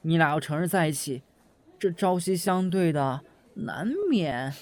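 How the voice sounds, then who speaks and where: Man acting a chatty, high-voiced young office worker. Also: unhurried, lightly acted. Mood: teasing. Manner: chatty, playful. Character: chatty young male office worker, teasing, eager, nosy. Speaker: voice actor in an audio drama. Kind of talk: audio drama acting.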